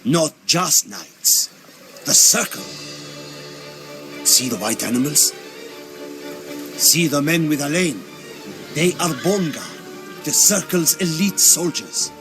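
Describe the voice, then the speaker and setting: An actor playing an earnest, defiant Turkish warrior in a video game, grave and insistent. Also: male voice, fairly high pitch, animated, slow, pauses often. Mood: grave warning. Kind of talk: video game cutscene acting. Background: faint music.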